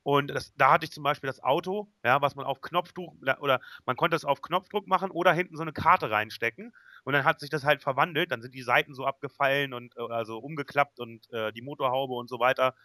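A man's voice: mid-range voice, brisk, pushed and bright, dry recording. A man hosting a casual podcast chat, talking conversationally and often checking for agreement. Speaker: podcast host.

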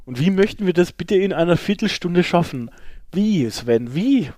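A man's voice: sits high, lively intonation, normal speed, a little mellow, no room sound. A middle-aged man chats casually as a podcast co-host. His intonation swings freely and he has a playful, joking way of talking. The sound is dry, with no room in it. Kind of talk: casual chat.

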